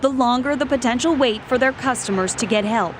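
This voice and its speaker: A woman news reporter delivering a scripted report in a measured, even way. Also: on the high side, slight background noise.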